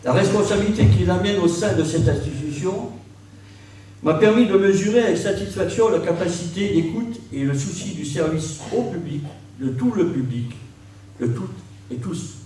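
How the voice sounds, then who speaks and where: A man, a local politician, delivering a formal, measured New Year address from a prepared text. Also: high voice, expressive, mellow. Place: large reverberant room at a public ceremony. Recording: very echoey hall, distant mic, faint noise.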